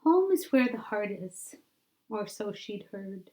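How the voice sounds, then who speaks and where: A woman reading a children's story aloud like a teacher, with deliberate, dramatic phrasing that drops into hushed tones. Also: mid-range voice, very animated.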